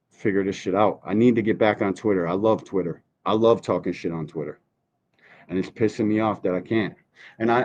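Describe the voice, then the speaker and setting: A man hosting a podcast livestream, ranting casually and bluntly with heavy swearing and complaints. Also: medium pitch. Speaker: podcast host. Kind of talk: casual livestream rant, profane. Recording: dull, muffled lo-fi stream audio.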